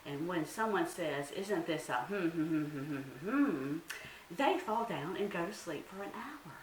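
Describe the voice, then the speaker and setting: A woman's voice: fairly low pitch, animated, a little slow. Sly, insistent trickster spider voiced by a woman. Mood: scheming. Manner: scheming, insistent, exclamatory. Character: lazy, sly male trickster spider, easily exasperated. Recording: roomy, distant mic, faint hiss.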